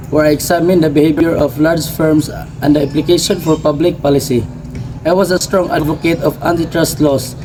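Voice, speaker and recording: A man's voice: a little high, dark tone, rough recording. A young man reading out a scripted first-person biography as a student presentation, in a recited manner. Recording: background noise, ambient room sound.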